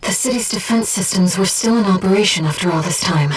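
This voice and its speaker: A woman voicing an earnest, gentle guide who speaks inside a pilot's head in a mecha video game, in measured scripted delivery. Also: mid-range voice, slightly rough, far from the mic, faint music behind, natural voice, low-quality recording. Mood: calm, focused. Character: soft-spoken, earnest female voice in a pilot's head, loyal guide. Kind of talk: scripted video game voice acting, tactical callouts.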